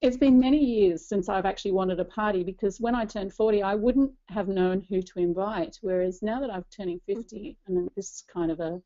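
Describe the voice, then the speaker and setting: Woman, late 40s, soft dark tone, earnest personal talk, slightly muffled recording. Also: mid-range voice, a little fast, few pauses. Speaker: church ministry leader speaking as co-presenter.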